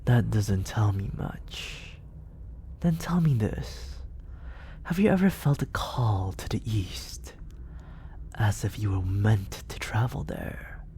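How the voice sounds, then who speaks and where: A man playing a scheming, teasing villain mentor in an intimate ASMR roleplay, with breathy, silky, theatrical delivery up close to the microphone. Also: fairly low pitch, animated, warm tone, a little hiss or hum. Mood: probing, coaxing. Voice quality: breathy, often dropping into whispers. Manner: theatrical, deliberate, silky persuasion. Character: smooth scheming villain mentor, teasing, grandiose, secretly fond. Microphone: close studio condenser microphone.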